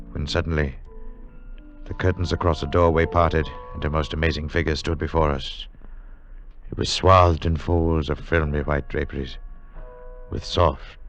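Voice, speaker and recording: Deep-voiced, sober radio drama adventurer. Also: male voice, lo-fi sound. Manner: dramatic, deliberate, literary phrasing. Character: sober English gentleman adventurer recounting his strange journey. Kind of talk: 1940s radio drama narration and dialogue. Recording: vintage radio broadcast with music behind.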